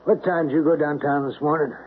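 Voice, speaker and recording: A man's voice: fairly high pitch, fairly even intonation, fast talker, natural voice. A man as a stern, insistent boss, tinny radio. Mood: suspicious, stern. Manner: insistent, interrogating. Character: stern, suspicious boss turning desperate and menacing. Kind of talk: old-time radio drama acting. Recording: tinny, hissy old radio recording.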